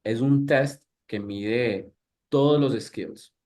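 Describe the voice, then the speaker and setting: A man's voice: mid-range voice, very slow, a little mellow. Man in his thirties teaching a workshop. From Ecuador. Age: 30s. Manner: conversational, many restarts and fillers, asks the audience questions. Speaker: English teacher. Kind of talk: live workshop talk.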